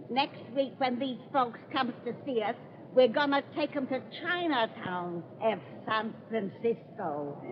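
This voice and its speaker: Slow, cackling old witch storyteller. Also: a little high. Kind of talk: 1930s radio horror acting. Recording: muffled, noisy 1930s radio recording.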